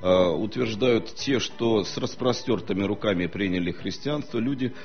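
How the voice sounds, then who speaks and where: Low-voiced man lecturing slowly. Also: dull audio, soft background music. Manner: measured, lecturing, frequent hesitations. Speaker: historian guest. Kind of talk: studio talk-show discussion.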